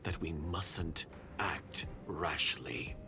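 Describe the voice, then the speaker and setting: A man's voice: extremely low pitch, drawn-out words, full character voice. A male actor playing a suspicious, disdainful covert agent in a video game, speaking formally and guardedly. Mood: guarded, businesslike. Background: game music.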